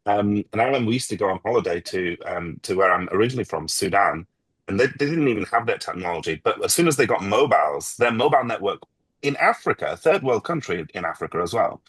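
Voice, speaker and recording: A middle-aged man from the UK leads a relaxed interview in a low, husky voice. His questions wander before they land. The sound is dry and close, with no room around it. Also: fast talker, no room sound, poor audio. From United Kingdom. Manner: discursive, long winding questions. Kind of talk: casual conversational interview.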